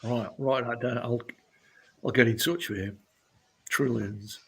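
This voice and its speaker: A middle-aged or older man, a musician chatting casually and haltingly as an interview guest. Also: mid-range voice, fairly even intonation, vocal fry, breathy edge. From Sheffield, England.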